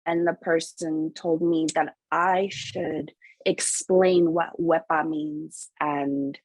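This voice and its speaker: A woman with a low, husky voice speaks slowly and deliberately. She sounds like a panelist giving candid, emphatic opinions, recorded close and dry. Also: a little mellow, no room sound, rough recording. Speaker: picture book author on a panel.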